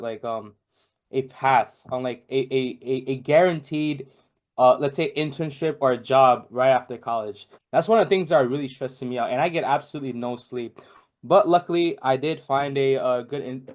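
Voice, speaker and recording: Rambling young man, lots of fillers. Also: medium pitch. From Bangladesh. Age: young adult. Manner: rambling, many fillers and restarts. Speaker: podcast guest. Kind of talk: casual chat.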